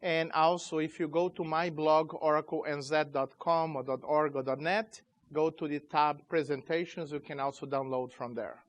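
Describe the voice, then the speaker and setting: Man in his 40s, a bit high-pitched, informal conference presenter. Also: fairly even intonation. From Chile, raised in Brazil. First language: Portuguese. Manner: informal, halting, loose grammar. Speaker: conference speaker, company CEO. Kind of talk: tech conference talk. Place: conference room.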